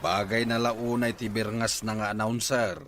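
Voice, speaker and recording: Lowish-voiced Filipino farming man, acted by a man. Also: fairly even intonation, normal speed, a bit bright, natural voice. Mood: matter-of-fact. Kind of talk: conversational Ilocano radio drama acting. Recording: clear background noise.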